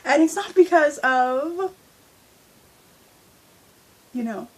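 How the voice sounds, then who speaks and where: A woman's voice: high-pitched, animated, very slow, poor audio. A young woman vlogging from her bed on a handheld phone, talking candidly with lots of fillers, stuffy from a head cold. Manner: chatty and candid, with frequent fillers. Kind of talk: casual storytime vlog. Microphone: handheld phone at arm's length.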